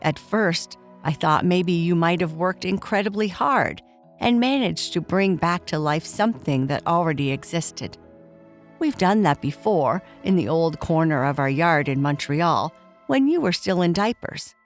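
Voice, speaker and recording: Woman as a gentle, sceptical mother. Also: on the low side, expressive, a little slow, faint music behind. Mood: awed. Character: gentle, sceptical, reassuring mother of a young girl. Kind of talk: children's bedtime storytelling.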